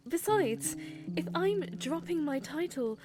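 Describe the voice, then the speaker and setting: A woman plays a young princess in an audio drama, gentle and sincere. Her voice is high and her delivery slow and earnest, with music clearly audible behind her. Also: expressive, exaggerated voice. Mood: agreeable, curious. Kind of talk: fan audio drama acting.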